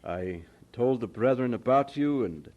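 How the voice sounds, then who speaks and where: A man's voice: medium pitch, expressive, a little mellow, highs cut off, close to an everyday voice. Man playing kindly, fatherly older pastor. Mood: warmly serious. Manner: genial, measured, fatherly. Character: kindly older Welsh pastor, encouraging mentor. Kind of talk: audio drama acting.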